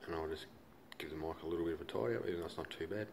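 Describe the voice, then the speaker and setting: A man with a low voice and an Australian accent talks through a hands-on job in a casual, laid-back way. His wording is colloquial and unpolished. There is faint noise on the handheld home recording. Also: very fast, vocal fry, darkish tone. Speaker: hobbyist demonstrator. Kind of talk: casual hands-on how-to commentary. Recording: noisy handheld home video.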